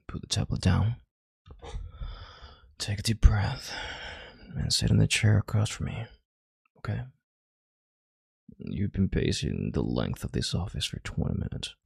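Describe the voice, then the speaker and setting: A man playing a commanding, calculating CEO in an intimate audio roleplay, speaking in a measured, halting, authoritative way with a deep, husky, gravelly voice. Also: no echo, studio quality, full character voice. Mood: firm, calming. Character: commanding, calculating male CEO calming a panicked employee.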